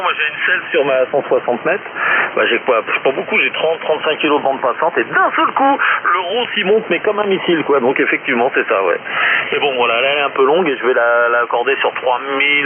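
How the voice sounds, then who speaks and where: Over a narrow shortwave radio link with clear static, a man chats as a ham operator. His talk is colloquial and unpretentious, and he plays down what he knows. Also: mid-range voice. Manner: informal, self-deprecating, colloquial. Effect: shortwave radio transmission.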